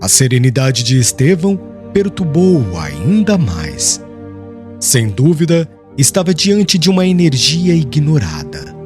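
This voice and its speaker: Audiobook narrator, male, Brazilian accent: solemn and theatrical, higher-pitched with lively swings, clear music underneath. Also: measured pace. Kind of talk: dramatic scripted literary narration. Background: background music.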